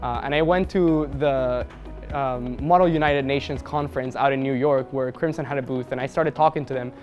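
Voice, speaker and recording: A young man from Mexico City, a university student giving a relaxed testimonial, talking casually with a lot of "uh" fillers. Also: mid-range voice, moderate pace, dull audio, soft background music. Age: young adult. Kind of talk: testimonial to camera.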